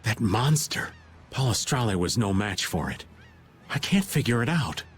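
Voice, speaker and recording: A man's voice: fairly low pitch, big pitch swings, moderate pace, a little hiss or hum. A man voicing an easygoing, wry middle-aged adventurer in a video game. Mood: wry, musing. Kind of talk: English video game voice acting.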